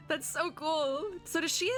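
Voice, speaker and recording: A woman's voice: very high-pitched, sharp tone. Chatty young woman streamer. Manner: chatty, enthusiastic, informal. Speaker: streamer reacting to game trailers. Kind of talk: casual live reaction commentary.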